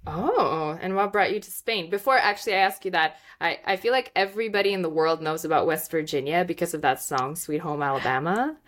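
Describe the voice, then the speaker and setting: A woman's voice: on the low side, a little fast, few pauses, fairly bright tone. Chatty woman host. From Moldova. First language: Russian. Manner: chatty, searching for words, many fillers. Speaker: podcast host. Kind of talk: casual interview chat.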